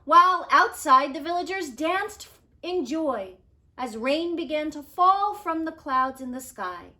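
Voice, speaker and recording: A woman reads a children's story aloud with expressive, dramatic phrasing. Her voice is bright and fairly high, and she takes her time over the words. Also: a little slow. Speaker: storybook reader.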